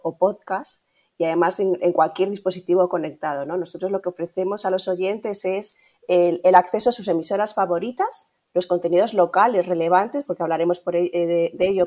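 A woman's voice: lowish voice, a little mellow. A middle-aged woman with a Castilian Spanish accent explaining things as an interview guest, often ending phrases with tag questions. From Spain. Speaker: interview guest, radio streaming executive. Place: indoor room, video call. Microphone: video call.

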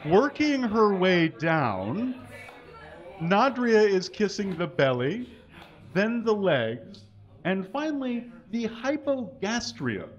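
Animated man doing a comedic stage reading. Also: high-pitched, slow pace, highs cut off. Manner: mock-earnest, exaggerated delivery, reading out typos and punctuation. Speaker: live comedy show performer reading fan fiction. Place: small indoor stage with curtains, live audience. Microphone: microphone on a stand.

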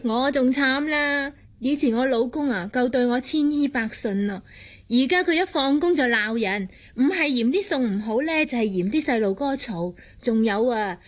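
Aggrieved housewife, high voice. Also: female voice, animated, moderate pace. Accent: Hong Kong Cantonese. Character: aggrieved, snooping plump housewife and friend. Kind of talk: Cantonese radio drama acting.

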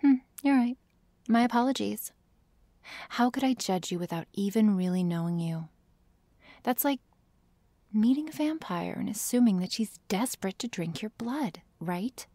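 A woman playing a prickly, teasing vampire girl who feigns indifference, speaking softly in an intimate ASMR roleplay. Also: mid-range voice, animated, normal speed, pauses often, no room sound. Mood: teasing, sarcastic. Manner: sardonic, hesitant, feigning indifference.

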